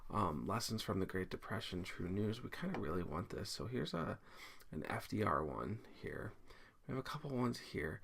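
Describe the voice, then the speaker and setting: A man's voice: lowish voice, big pitch swings, normal speed, gravelly, fairly bright tone, a little hiss or hum. A man giving a casual screencast tutorial, explaining each step informally and thinking aloud as he works. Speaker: teacher giving a software tutorial. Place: indoors at a computer, screen recording.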